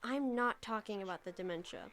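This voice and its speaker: An actress playing a worried, insistent young investigator in a live radio horror drama, urgent and pleading. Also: female voice, medium pitch, fairly quick, fairly bright tone, natural voice.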